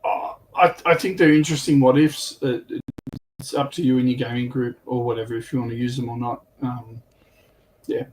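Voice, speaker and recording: A man's voice: medium pitch, level tone, moderate pace, a little mellow, a little hiss or hum, poor audio. A man speaking casually as a panelist on a hobby livestream.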